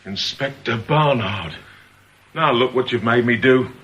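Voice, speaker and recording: An actor playing a cheeky English ex-convict forger in a radio drama, chirpy and colloquial. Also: male voice, medium pitch, unhurried, a bit bright, close to an everyday voice. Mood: chirpy, flustered. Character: cheeky male ex-convict forger serving tea. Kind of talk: British radio drama acting. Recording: dull, faintly hissy radio recording.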